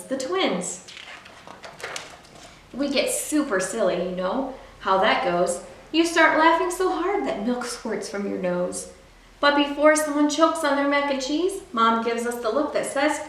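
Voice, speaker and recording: High-voiced woman reading in a very echoey room. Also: a bit bright. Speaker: library storyteller. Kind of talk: picture book read-aloud for young children. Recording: very echoey room, distant mic, faint noise.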